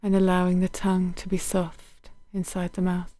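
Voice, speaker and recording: Mellow, soothing woman guiding meditation. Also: lowish voice, completely flat delivery, dry recording. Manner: gentle, unhurried, soothing, with soft phrase endings. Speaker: meditation guide. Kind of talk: guided body scan meditation.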